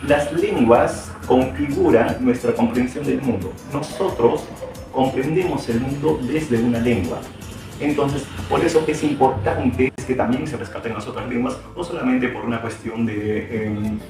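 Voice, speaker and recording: A Peruvian man who teaches, answering interview questions in a reflective way, hesitating often with fillers and restarts. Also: mid-range voice. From Peru. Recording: very echoey room, far from mic, music behind.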